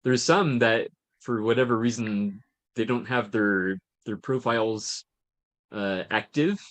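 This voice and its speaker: A man hosting a casual live-stream chat, halting and repeating words as he talks. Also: fairly low pitch, a bit bright, no echo. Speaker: host.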